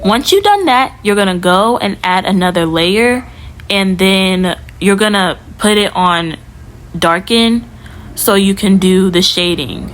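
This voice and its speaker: A woman's voice: mid-range voice, lively intonation, unhurried. A young woman giving a casual, chatty how-to tutorial as a video creator, talking informally with lots of fillers. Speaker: YouTube creator.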